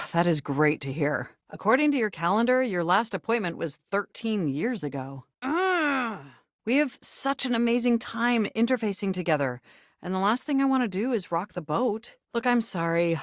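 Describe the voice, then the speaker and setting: A woman's voice: medium pitch, lively intonation, normal speed, no room sound, studio quality, close to an everyday voice. A woman voicing an earnest, lovestruck, anxious desktop computer in a dating game, with formal, wordy, precise diction. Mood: earnest, pleading. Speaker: video game character voice actor. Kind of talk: scripted dating game dialogue.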